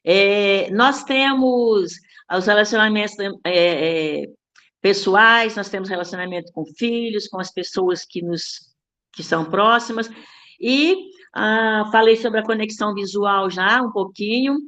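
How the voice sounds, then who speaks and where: An older woman from Brazil with a Brazilian accent, speaking as a radio guest and explaining communication in a measured, teacherly way. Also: medium pitch, a bit bright. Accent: Brazilian Portuguese. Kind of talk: explanatory talk.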